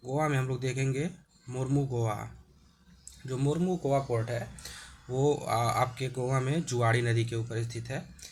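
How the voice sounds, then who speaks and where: A man with a sore throat, explaining an exam-prep lesson with many hesitations and frequent throat clearing. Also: mid-range voice, fairly even intonation, unhurried, darkish tone, slight background noise.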